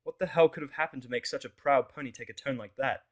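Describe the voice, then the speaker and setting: A man narrating a fan fiction audio drama in the first person, expressive and wry. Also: mid-range voice, quick pace.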